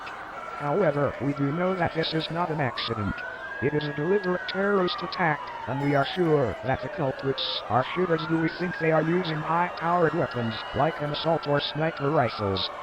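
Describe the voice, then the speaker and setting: A man's voice: fairly high pitch, lightly acted. A man playing a field reporter who gives a formal, wordy, cautious scripted live news report. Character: male field reporter at an attack scene. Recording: loud street noise with police sirens. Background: sirens, emergency vehicles, outdoor traffic.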